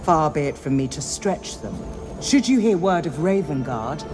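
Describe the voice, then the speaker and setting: A woman's voice: deep voice, expressive, over music. Stately, grave elven noblewoman. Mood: solemn appeal. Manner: formal, stately, measured. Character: grave, proud elven city councillor woman with feathered mantle. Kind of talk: dramatic video game cutscene acting.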